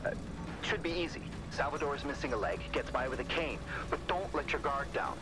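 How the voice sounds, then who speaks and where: An actor playing a cautious, slightly flustered contact in a video game. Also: male voice, medium pitch, animated, normal speed, close to an everyday voice, poor audio. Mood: hesitant, cautioning. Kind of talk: video game cutscene acting. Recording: loud music and battle sounds.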